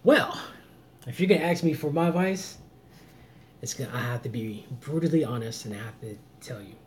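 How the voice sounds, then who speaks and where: A man in his late thirties giving earnest, preachy relationship advice on a live vlog, swinging between loud emphasis and low murmurs. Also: a little fast, a little hiss or hum. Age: 30s. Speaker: vlogger. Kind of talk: live-stream advice chat.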